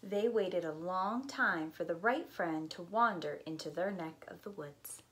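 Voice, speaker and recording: A middle-aged woman reading a picture book aloud as a preschool teacher, deliberate and expressive. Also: on the low side, slight background noise. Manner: slow, deliberate, animated storyteller delivery. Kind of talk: expressive storytime read-aloud. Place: small indoor room with bookshelf.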